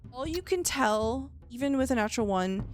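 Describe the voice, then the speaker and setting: A woman narrating a tabletop game as game master, describing scenes in a measured, descriptive way. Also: a little high, lively intonation, soft background music.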